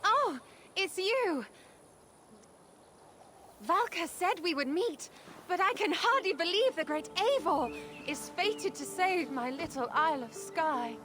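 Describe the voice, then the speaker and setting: Excitable, high-voiced island seer. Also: female voice, takes it slowly, long pauses, exaggerated voice. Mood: awestruck, excited. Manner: gushing, playful. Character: excitable, chatty female island seer, awestruck and friendly. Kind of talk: video game character acting. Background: game music.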